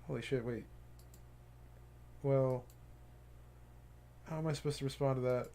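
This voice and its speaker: Slow man reading a visual novel aloud. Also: medium pitch, steady tone, mellow. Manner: reads haltingly with stumbles and reactions. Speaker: streamer. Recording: faint game music behind.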